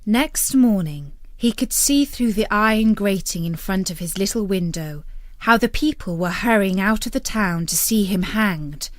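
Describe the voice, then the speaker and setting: A woman narrating a fairytale as a storyteller, with an expressive and deliberate storybook delivery. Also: medium pitch, no echo, clean studio sound.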